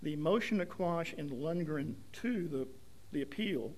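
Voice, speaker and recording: A man attorney making an appellate oral argument, choosing his words carefully and haltingly. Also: a little high, lively intonation, darkish tone. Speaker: attorney arguing an appeal.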